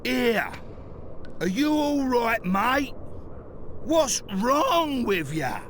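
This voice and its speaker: An actor playing a brash, excitable male prison guard in a video game. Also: extremely high pitch, very slow, slight background noise, heavily acted. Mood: alarmed. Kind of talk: video game character acting.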